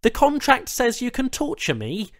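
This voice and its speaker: A man's voice: very high-pitched, wildly swooping pitch, normal speed, no echo, clean studio sound, pitched above the performer's own voice, full character voice. A man playing an anxious, indignant human captive in a science fiction audiobook, protesting and questioning his captors with wry disbelief. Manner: protesting, questioning, wryly incredulous.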